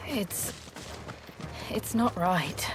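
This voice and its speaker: An actress playing a compassionate, earnest traveler in a fantasy video game, speaking with concern. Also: female voice, mid-range voice, animated, extremely slow, noisy background. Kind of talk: video game cutscene acting.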